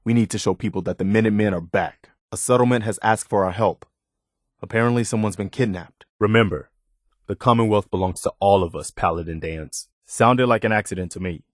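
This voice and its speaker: A guy playing an earnest plain-spoken soldier sidekick in a wasteland game, lowish voice, very dry sound. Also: fairly quick, no room sound, natural voice. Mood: earnest, steady. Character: earnest, watchful militia soldier companion in a post-war wasteland. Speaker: video game companion character. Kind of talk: scripted game companion dialogue.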